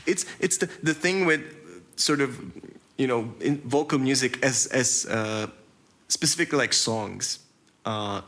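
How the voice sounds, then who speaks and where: A man's voice: mid-range voice, a little slow, fairly bright tone. A man hosting a casual interview in an encouraging, affirming way. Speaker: interview host. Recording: noticeable background noise.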